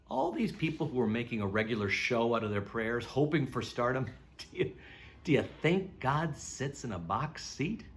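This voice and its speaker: A man's voice: mid-range voice, a bit bright. A man reading scripture aloud for a church, with emphatic, direct delivery.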